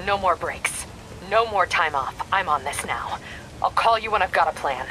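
A woman's voice: fairly low pitch, lively intonation, normal speed, bright, forceful tone, a little hiss or hum, rough recording. A woman playing a stern, exasperated police ally in a video game, speaking bluntly and reproachfully on a call. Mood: angry, resolute.

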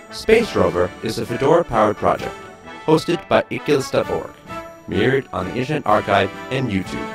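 A man reading credits as a narrator in a scripted, tongue-in-cheek style. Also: fairly low pitch. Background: music.

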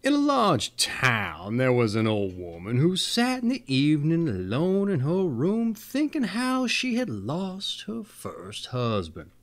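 A man in his thirties reading fairy tales aloud expressively, with theatrical emphasis, drawn-out words and folksy asides. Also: fairly high pitch, wildly swooping pitch. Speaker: storyteller, show host.

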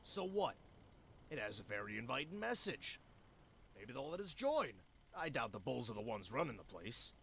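A man's voice: medium pitch, sing-song, moderate pace, vocal fry, fairly bright tone, a little hiss or hum. A man voicing a scheming, cheerful small animal salesman with persuasive patter in a cartoon adventure game. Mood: scheming, upbeat.

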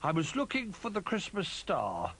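A man's voice: high-pitched, wildly swooping pitch, normal speed, noisy background, voice pushed up, full character voice. A man voicing an eager, wide-eyed little boy bear cub in a children's storybook, with childlike, exclaiming earnestness. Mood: earnest, sorry.

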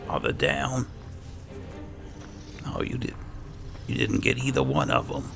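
A man with a low, gravelly voice giving casual game commentary, muttering asides to his companion. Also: big pitch swings, unhurried. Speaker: gameplay host and roleplayer. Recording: game music behind the voice. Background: loud game music.

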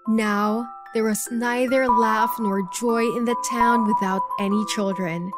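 A woman's voice: fairly high pitch, fairly even intonation, takes it slowly, loud music behind the voice. A woman narrating a scripted bedtime story for children.

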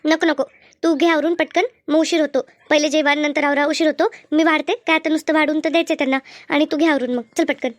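A woman voicing a bossy, sly elder sister-in-law in a cartoon family drama, brisk and repetitive as she instructs. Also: high voice, fairly even intonation, close to an everyday voice. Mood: brisk, instructing. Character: bossy, two-faced elder sister-in-law running the kitchen.

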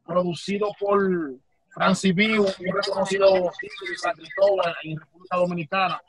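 A man's voice: sits high, level tone, moderate pace, crowd noise, low-quality recording. A young man, an urban music artist, talking casually over a phone video call from inside a car, stammering at first then flowing. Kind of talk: casual interview answers.

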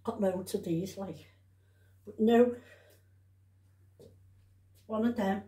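A woman talks in an unhurried, mellow voice. She rambles chattily as if to a camera at home, circling back over her words and adding tag questions. The room gives her voice a noticeable echo. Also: medium pitch. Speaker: vlogger showing shopping haul. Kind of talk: casual rambling chat to camera. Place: indoors at home. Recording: roomy, echoey home recording.

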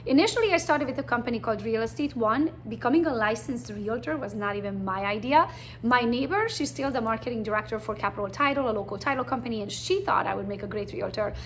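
Woman in her forties, Hungarian accent, high voice, lively blunt storytelling, faint background hiss. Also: fast. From Transylvania, Romania. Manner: chatty, blunt, animated storytelling. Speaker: realtor presenting to prospective recruits. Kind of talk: casual recruiting presentation.

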